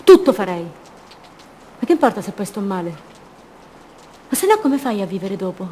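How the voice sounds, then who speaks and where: An actress playing an impulsive, fragile woman madly in love, in an intense, poetic radio theatre monologue full of repeated phrases that swings between rapture and hush. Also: medium pitch, big pitch swings, normal speed, a little hiss or hum, close to an everyday voice. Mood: passionate, defiant.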